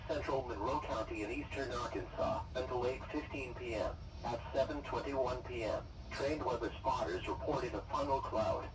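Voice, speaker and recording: A synthetic man's voice reading a formal scripted weather warning as a radio announcer. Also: mid-range voice. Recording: distant weather radio broadcast, loud noise.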